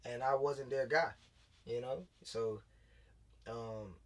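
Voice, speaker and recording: A man's voice: medium pitch, fairly even intonation, slow pace, far from the mic. Laid-back man in his late twenties, off-mic. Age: late 20s. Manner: loose, laid-back storytelling with many fillers and restarts. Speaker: guest, former pro football player turned high school coach. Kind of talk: casual interview talk.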